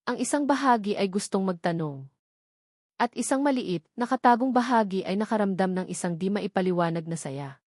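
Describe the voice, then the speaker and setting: A Filipino woman narrates a dramatic scripted love story. Her voice sits at a middle pitch for a woman, and the recording is dry, with hardly any room sound. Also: darkish tone, no echo.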